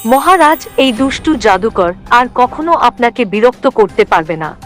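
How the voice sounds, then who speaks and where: A woman's voice: fairly high pitch, sing-song, moderate pace, soft background music. A woman voicing a solemn, determined magician queen in a fairy-tale cartoon. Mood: reassuring. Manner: formal, determined.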